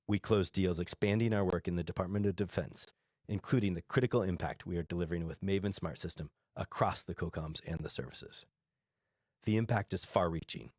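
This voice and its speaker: A man delivering scripted earnings call remarks as a company executive, in a formal and measured corporate manner. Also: low voice, a little mellow, no echo, studio quality.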